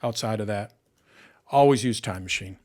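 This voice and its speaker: A man with a Michigan accent hosting a live tech question session, explaining at ease with everyday examples and frequent pauses. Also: lowish voice. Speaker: host answering tech questions. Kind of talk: live Q&A chat.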